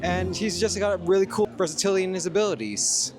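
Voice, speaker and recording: A man's voice: high voice, fast talker, over music. A man from Australia chatting casually as he answers interview questions. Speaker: cosplayer being interviewed.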